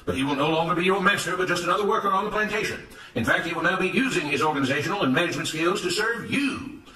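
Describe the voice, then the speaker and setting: Man putting on a loud, high-pitched oratorical plantation boss. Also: expressive, rapid-fire, reverberant, far from the mic, poor audio. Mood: grand, triumphant. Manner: declamatory, oratorical, emphatic. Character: smooth-talking, self-righteous plantation overseer making rousing speeches. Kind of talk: dramatised storybook narration.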